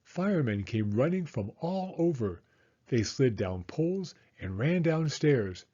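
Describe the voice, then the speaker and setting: A man reading a children's picture book aloud in an expressive, animated way, as a storyteller speaking to young listeners. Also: medium pitch, a little slow, dull audio. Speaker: storyteller, former school teacher.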